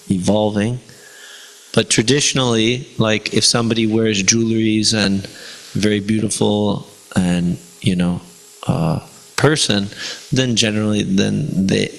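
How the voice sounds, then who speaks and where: A man's voice: fairly low pitch, creaky, fairly bright tone, low-quality recording. A man whose first language is Spanish, giving an informal devotional class in a chatty way, with rhetorical questions to his listeners. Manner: conversational, many fillers and rhetorical "right?". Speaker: religious class teacher.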